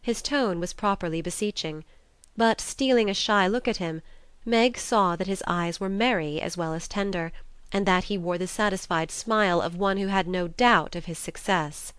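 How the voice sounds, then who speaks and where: A woman's voice: mid-range voice, moderate pace, a bit bright, smooth, no echo. A woman reading a classic novel aloud as a scripted literary narration. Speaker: audiobook narrator.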